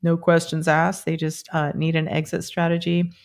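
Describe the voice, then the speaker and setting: A woman around sixty hosting a live stream, chatting casually and then guiding a group energy meditation with quick yes-or-no pendulum checks. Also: fairly low pitch, monotone, gravelly. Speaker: energy work host.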